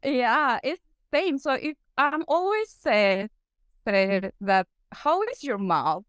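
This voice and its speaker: A woman's voice: sits high, very animated, fairly bright tone, smooth, no room sound, studio quality. A Peruvian woman, a native Spanish speaker, who answers interview questions in careful English and often searches for the right word. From Peru. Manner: halting, searching for English words. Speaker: guest consultant, periodontist.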